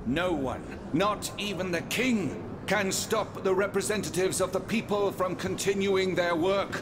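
Revolutionary orator, high male voice, solemn, resolute, declamatory, noisy ambience behind, video game drama. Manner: declamatory, deliberate, oath-taking.

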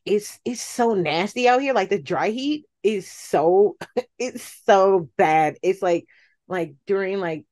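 Low-pitched American woman chatting. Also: darkish tone. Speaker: podcast guest. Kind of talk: casual chat.

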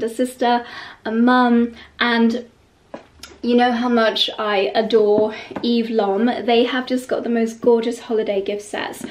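A woman in her thirties, vlogging to a close camera in a bright bedroom, chatty and gushing, a little stuffy from a cold. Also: on the high side. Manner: gushing and chatty, with light laughs. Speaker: lifestyle vlogger. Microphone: camera held close.

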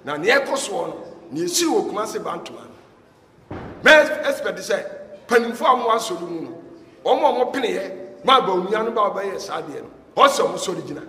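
A man's voice: high voice, very animated, moderate pace, pushed and bright. Fervent preacher man in an echoey hall. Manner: fervent, preaching with raised hands. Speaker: pastor. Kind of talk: sermon-style warning in Twi. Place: church hall, indoors. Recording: echoey hall with long reverb.

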